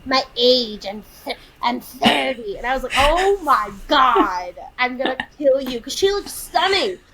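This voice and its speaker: A thirty-year-old woman co-hosting a podcast, recapping a film in casual chat, animated and exasperated as she acts out quotes. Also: sits high, measured pace, rough recording. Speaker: podcast co-host.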